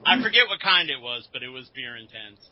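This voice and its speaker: A man's voice: mid-range voice, sing-song, a little fast. A man on a podcast panel, chatting casually and telling anecdotes. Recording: slightly dull lo-fi audio.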